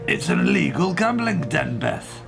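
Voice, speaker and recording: A man acting a fretful, old-fashioned father of a grown daughter in a radio play, with a gravelly voice. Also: fairly high pitch, sing-song, moderate pace, over music, exaggerated voice. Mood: disapproving.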